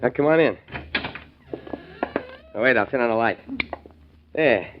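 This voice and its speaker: A man's voice: medium pitch, lively intonation, a little slow, close to an everyday voice. An actor playing a sly, cocky man with a chuckling manner in a 1940s radio drama. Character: greedy sly man, shot near the end. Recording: dull old radio recording with faint hiss.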